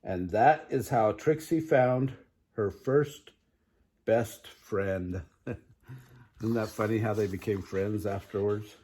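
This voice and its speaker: An older man reads a children's story aloud in a grandfatherly way, pausing to put chatty questions to young listeners. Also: lowish voice, a little mellow, husky.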